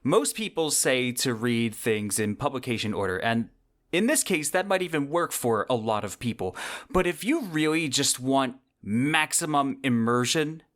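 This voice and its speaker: Male podcast host, medium pitch, scripted yet conversational delivery, enthusiastic. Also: lively intonation, normal speed, fairly bright tone. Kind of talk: scripted solo podcast commentary on science fiction books.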